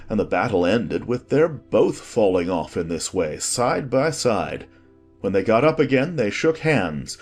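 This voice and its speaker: A man with a husky voice reading a scripted story as an expressive audiobook narrator. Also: fairly low pitch. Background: faint music.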